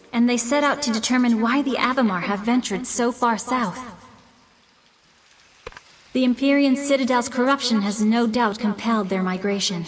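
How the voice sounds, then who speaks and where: A woman's voice: a little high, close to an everyday voice. A woman playing a composed, courteous leader in a fantasy video game, speaking formally and articulately. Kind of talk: scripted video game quest dialogue.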